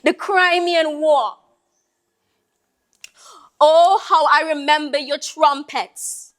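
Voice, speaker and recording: Stage monologue casting: actress to play a weary, proud old Jamaican Creole woman, strikingly high voice, drawn-out lamenting delivery. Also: a bit bright. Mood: haunted, remembering. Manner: slow, lamenting, rhetorical, with sighing exclamations. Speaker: monologue performer. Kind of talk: theatrical dramatic monologue.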